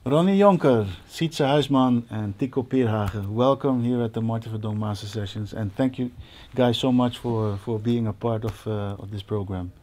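A man's voice: mid-range voice, normal speed, hardly any pauses, darkish tone. A man in his forties with a Dutch accent, a host chatting casually with fellow musicians. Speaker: host, percussionist. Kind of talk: casual interview. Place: indoor music studio with stage setup.

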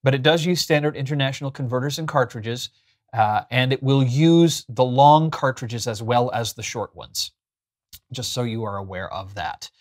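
A man in his thirties hosts a review in a chatty, explanatory way. His voice sits at an ordinary middle pitch. He talks casually to the camera, picked up by a lapel mic in a small furnished room. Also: moderate pace. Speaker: host of a pen review show.

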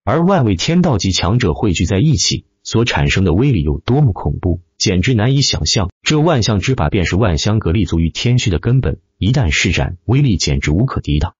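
Husky, animated male audiobook narrator. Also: on the low side, brisk, few pauses, no room sound, highs cut off. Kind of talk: dramatic scripted web-novel narration.

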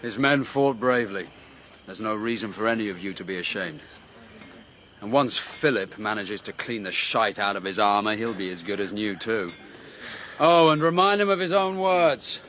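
A man's voice: fairly low pitch, animated, moderate pace, bright, forceful tone, a little hiss or hum. An actor playing a bold, wry warrior prince who commands his soldiers in a historical audio drama. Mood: hearty. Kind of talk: historical radio drama acting.

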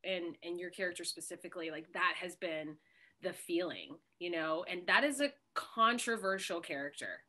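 A woman's voice: fairly low pitch, animated, normal speed, bright, forceful tone. A young woman hosting a casual video call from home, full of enthusiastic praise for a guest. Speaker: host. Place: home room, indoors.

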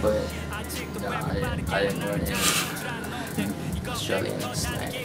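An adult man whose first language is Korean, chatting casually as an interview guest, with a relaxed, halting manner full of fillers and restarts. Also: fairly low pitch, vocal fry. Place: small dark studio room, indoors. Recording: roomy, distant sound with music behind.

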